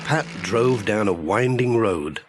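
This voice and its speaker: A man narrates a children's story from a script. He takes his time, and his pitch moves expressively as he reads. The recording carries slight background noise. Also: animated, slow. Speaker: audiobook narrator.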